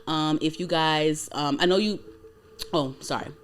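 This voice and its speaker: A woman's voice: low-pitched, measured pace, slight background noise. A woman in her late twenties hosting a podcast, chatting casually with lots of fillers and "like"s. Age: 20s. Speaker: podcast host. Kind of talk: casual chat.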